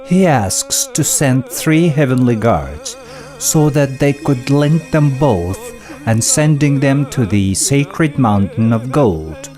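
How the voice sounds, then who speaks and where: A man narrating a folk epic as scripted storytelling, in a measured, solemn manner. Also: mid-range voice, big pitch swings. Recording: voice-over with loud music behind. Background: loud music.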